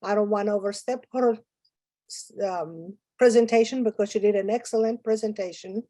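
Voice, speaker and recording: Greek-accented woman presenter, warm dark tone, dry sound, halting delivery. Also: medium pitch, no echo. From Greece. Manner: hesitant, with many fillers and broken phrasing. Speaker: guest speaker. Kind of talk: conference presentation.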